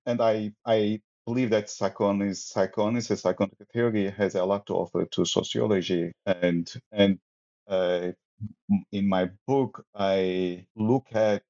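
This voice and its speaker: A man's voice: fairly low pitch, fairly even intonation, moderate pace, mellow, muffled recording. A man from Turkey with a Turkish accent, a sociologist talking about his research as an interview guest, hesitant with many restarts and fillers. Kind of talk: academic interview.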